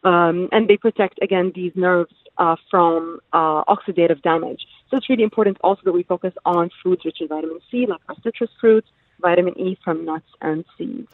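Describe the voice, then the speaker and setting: A woman dietitian from the UAE, explaining nutrition as a radio guest. She speaks fluently but fills pauses with ums. Her voice comes through a narrow, tinny phone line with no room sound. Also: lowish voice, dry recording. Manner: fluent and informative, many ums.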